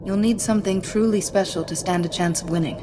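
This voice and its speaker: An actress playing a composed, supportive Jedi diplomat in a video game, speaking politely and with measured delivery. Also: female voice, mid-range voice, long reverb tail, noisy background, close to an everyday voice. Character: calm, courteous, supportive female Jedi diplomat. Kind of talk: scripted video game acting. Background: music.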